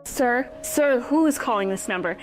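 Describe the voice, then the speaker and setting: Woman playing a higher-voiced, firm emergency dispatcher. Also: animated, moderate pace, soft background music, natural voice. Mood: urgent control. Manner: firm, procedural. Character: urgent, steady female emergency dispatcher. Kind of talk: horror audio drama emergency call.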